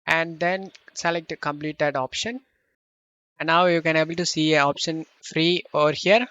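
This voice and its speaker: A man hosting a step-by-step software tutorial, speaking loosely phrased non-native English. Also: on the high side, fairly even intonation, moderate pace, clear, resonant tone, no echo, lo-fi sound. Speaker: tutorial host.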